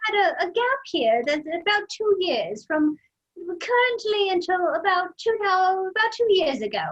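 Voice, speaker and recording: A woman's voice: very high-pitched, big pitch swings, moderate pace, dull audio. A woman playing a gushing, prim British lady interviewer with sing-song politeness, in an improvised comedy sketch. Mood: polite, prim. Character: very high-voiced genteel British lady interviewer, twittery, gushing.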